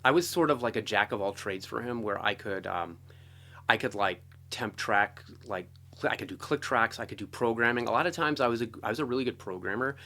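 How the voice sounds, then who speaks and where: Middle aged american guy, lowish voice, rambly and into it, telling career stories on an interview. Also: fairly quick, sharp tone. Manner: enthusiastic, rambling, punctuated with "like" and "you know". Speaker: interview guest, film and television composer. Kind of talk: casual conversational storytelling.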